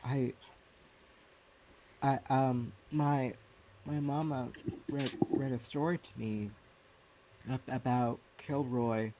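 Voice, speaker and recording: A man's voice: mid-range voice, steady tone, slow, long pauses, warm tone, highs cut off, a little hiss or hum. A man playing a tabletop game in casual chat, hesitant and stammering.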